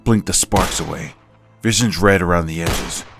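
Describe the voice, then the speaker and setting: A man playing a hard-bitten, vengeful vigilante in a comic book dub, speaking in clipped, grim phrases with a low, raspy voice. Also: normal speed, over music. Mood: grim focus. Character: hard-bitten, vengeful male gun-toting vigilante, grimly determined. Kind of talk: comic book dub acting with inner monologue.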